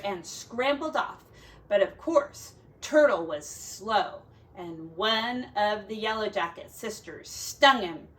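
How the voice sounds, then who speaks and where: A woman reading a children's folk tale aloud in an expressive, dramatic and deliberate way, like a teacher. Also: a little high, low-quality recording. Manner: dramatic, deliberate, with quiet asides to young listeners.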